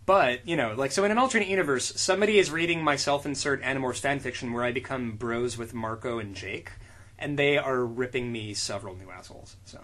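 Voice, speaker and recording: A man's voice: mid-range voice, fairly quick, fairly bright tone. A man co-hosting a comedy podcast, joking around, laughing and often tripping over his words. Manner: giggly, stammering, playful. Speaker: podcast co-host.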